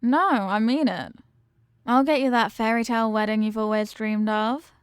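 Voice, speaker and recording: A woman's voice: fairly high pitch, normal speed, clean tone, dry recording, studio quality, lightly acted. A woman playing a confident mafia boss girlfriend in an intimate romantic audio roleplay, talking tough but tenderly with affectionate slang. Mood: devoted, promising. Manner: affectionate tough talk with slangy pet names.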